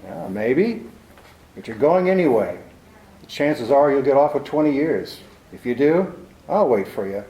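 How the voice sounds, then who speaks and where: A man plays a hard-boiled private detective in a 1940s-style radio play. He talks in a clipped, cynical, sardonic way, his voice mellow and dark, and the pitch moves a lot. The room around him is echoey. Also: big pitch swings, unhurried. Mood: rueful. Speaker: actor. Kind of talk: 1940s radio drama acting.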